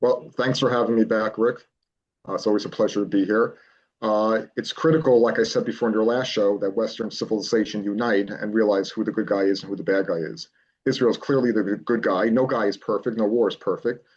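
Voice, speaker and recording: A man as a political consultant guest, explaining a plan in measured speech with frequent pauses. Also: on the low side, steady tone, a little mellow, slightly rough, lo-fi sound. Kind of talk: remote interview, explaining a plan.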